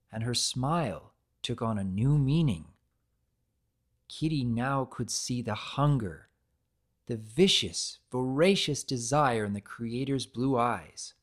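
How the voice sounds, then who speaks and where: A narrator giving an expressive, deliberate dramatic reading of a story. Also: mid-range voice.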